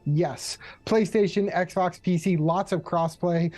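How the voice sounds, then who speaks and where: A man with a fairly high voice speaks as a community manager on a casual livestream. He is dry and keeps his phrases short and clipped. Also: steady tone, takes it slowly, a little mellow, faint music behind.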